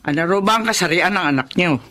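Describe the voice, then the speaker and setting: A woman's voice: on the low side, fast, fairly bright tone, natural voice. A woman playing an exasperated, scolding mother in a radio drama, full of dramatic exclamations. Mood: bossy, calmer. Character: exasperated scolding mother, middle-aged or older. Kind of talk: Tagalog radio drama acting.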